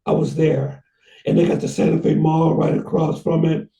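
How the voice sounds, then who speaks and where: A man hosting a casual conversation, rambling and halting with many restarts. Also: fairly high pitch, little pitch movement, mellow, roomy sound, far from the mic. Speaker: host.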